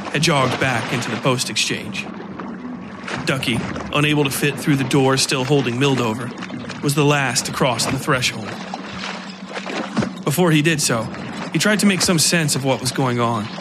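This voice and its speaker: Male dark-fiction narrator over watery ambience. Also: mid-range voice, background noise.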